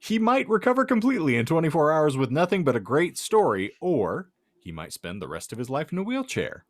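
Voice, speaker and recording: A man's voice: fairly high pitch, big pitch swings, brisk, no room sound, studio quality. A man hosting a podcast, reading out a comic hypothetical and bantering in a wry, wordy, mock-formal manner. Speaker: podcast host.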